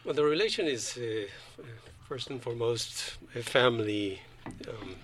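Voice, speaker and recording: A man from Colombia, a panel guest, speaking haltingly and often repeating words as he restarts. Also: mid-range voice, lively intonation, fairly bright tone. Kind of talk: radio panel discussion.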